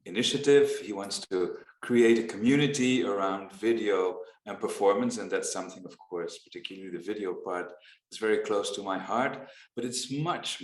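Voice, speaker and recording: A man on a video call who talks about his foundation's work in an unhurried, reflective way, with frequent pauses and ums. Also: sits low, reverberant. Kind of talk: casual video-call conversation.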